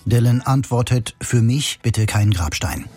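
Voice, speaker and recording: A man reporting as narrator of a scripted radio feature, with measured, even narration. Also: mid-range voice.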